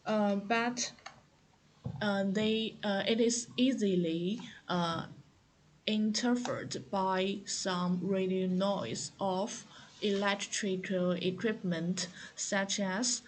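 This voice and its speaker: Slow, hesitant woman giving a product demo. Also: mid-range voice, slight background noise. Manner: hesitant, halting step-by-step explaining. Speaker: product demonstrator. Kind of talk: unscripted product tutorial.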